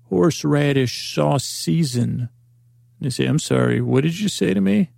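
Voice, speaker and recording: Gravelly man rambling softly at bedtime. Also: on the high side, moderate pace, dry recording, studio quality. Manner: soft, meandering, self-deprecating, with frequent fillers. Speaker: podcast host.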